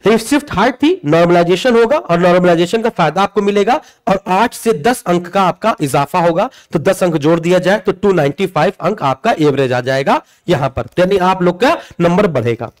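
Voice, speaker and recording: A man's voice: high voice, expressive, moderate pace, few pauses. A man explains things as a coaching teacher would. His delivery is informal and emphatic, circling back to repeat key points and checking in with short tags. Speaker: exam coaching teacher presenting a video. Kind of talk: informal explanatory lesson to students.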